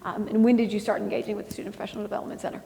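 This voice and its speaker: A woman moderates a panel, drawing out each speaker with encouraging questions. She talks quickly at a middle pitch. Her voice carries a long reverb, as in a large hall. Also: little pitch movement, gravelly, warm tone, slight background noise.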